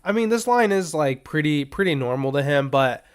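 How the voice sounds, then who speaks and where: A man hosting a sports betting podcast in a loose, rambling, casual way, his voice a little raspy and strained as he is under the weather. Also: a little high, lively intonation, moderate pace. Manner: rambling, loose, filler-heavy asides. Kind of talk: casual unscripted betting picks talk.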